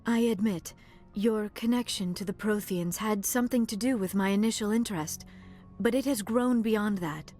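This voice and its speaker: A woman's voice: mid-range voice, moderate pace, a little mellow, faint music behind, close to an everyday voice. An actress playing a shy, earnest female alien scientist in a video game, with formal and careful phrasing. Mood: tentative, intimate.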